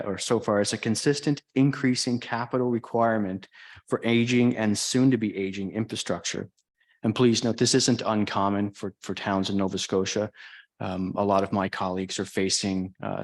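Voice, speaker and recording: A man giving a formal report to a town council, speaking in a measured, monotone way. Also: fairly low pitch. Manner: self-described monotone, measured and explanatory. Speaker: town chief administrative officer. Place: council chamber.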